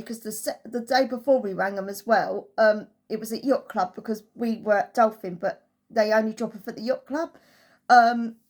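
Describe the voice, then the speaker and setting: A woman giving a casual, chatty holiday review as a travel vlogger, telling it through anecdotes. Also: fairly high pitch.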